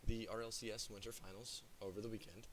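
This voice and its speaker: A hoarse young man chatting as an esports caster, self-mocking and chatty before the match. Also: lowish voice, level tone, fast, slight background noise. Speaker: esports caster and stream operator. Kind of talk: casual pre-game esports commentary.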